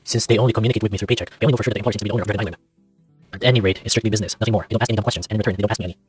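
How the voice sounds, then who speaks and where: A man voicing a gruff, taciturn dragon ferry captain in a game, clipped, reluctant and deadpan. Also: fairly low pitch, rapid-fire, dry recording, faint music behind. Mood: curt, guarded.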